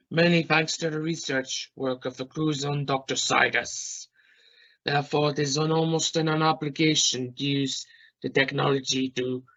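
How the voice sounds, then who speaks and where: A man's voice: mid-range voice, fairly bright tone. Dull-sounding, brusque scientist, acted by a man. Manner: formal, measured, slightly halting phrasing. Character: brusque male Russian space scientist and mission captain. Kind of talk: scripted radio play interview. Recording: dull lo-fi recording.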